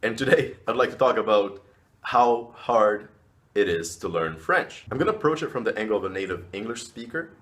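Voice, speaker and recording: Upbeat man, lowish voice. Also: expressive, moderate pace, a bit bright. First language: Portuguese. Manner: upbeat and encouraging, pitch jumps up on key words. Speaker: language vlogger. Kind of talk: casual advice talk to camera.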